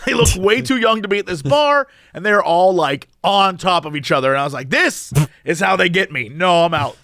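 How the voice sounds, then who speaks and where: A man co-hosting a casual comedic podcast, rambling along in a playful, animated way. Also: sits high, normal speed, no echo, studio quality. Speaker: podcast co-host.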